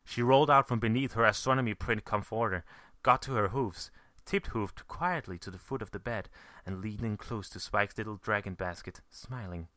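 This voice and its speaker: A man narrates a piece of fan fiction. His voice is fairly low and very bright, in a close, dry recording. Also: moderate pace, no echo. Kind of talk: scripted story narration.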